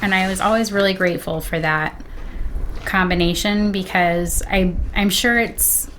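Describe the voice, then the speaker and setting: A middle-aged woman speaks in a somewhat low voice. She chats casually as a guest on a stream, with a little noise behind her. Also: fairly even intonation, normal speed, fairly bright tone. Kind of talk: casual chat.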